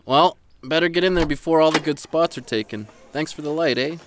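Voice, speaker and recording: A man's voice: on the high side, a little fast, noisy background, natural voice. A man playing an easygoing, joking local in a naturalistic audio drama, with a folksy, slangy way of talking.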